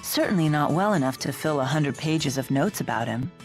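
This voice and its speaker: A woman's voice: low-pitched, lively intonation, brisk, darkish tone. An actress playing a composed, blunt, resolute young woman in a video game. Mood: dry, probing. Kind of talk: English video game voice acting. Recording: background music under the voice.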